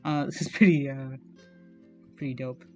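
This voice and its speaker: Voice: medium pitch, fairly even intonation, moderate pace, soft background music. A digital artist streaming, rambling casually while drawing, with a slightly strained, scratchy throat, chatty and self-conscious, laughing often and swearing casually.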